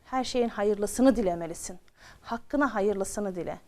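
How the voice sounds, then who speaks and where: A woman voicing a kindly, pious male imam who gives calm, gentle counsel in a children's story. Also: medium pitch, measured pace, natural voice. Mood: calm, reassuring. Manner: reassuring, gently instructive.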